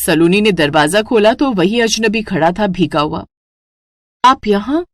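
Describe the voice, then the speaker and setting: A woman's voice: mid-range voice, moderate pace, background noise. A woman narrator reading a scripted story in a storytelling style.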